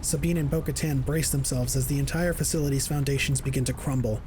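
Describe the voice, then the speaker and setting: A man's voice: mid-range voice, steady tone, fast, darkish tone, slight background noise. A man narrating a scripted science fiction audio drama in the third person.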